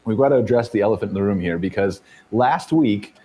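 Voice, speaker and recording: Upbeat man hosting, mellow voice. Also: fairly low pitch, fairly quick. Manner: upbeat, joking. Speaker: host. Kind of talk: casual chat.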